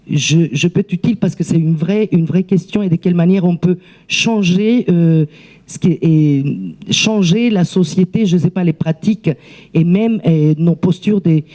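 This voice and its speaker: A woman who is not a native French speaker, giving spontaneous remarks in a seminar debate, halting and searching for words with many repeats. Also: on the low side, mellow. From outside France, immigrant.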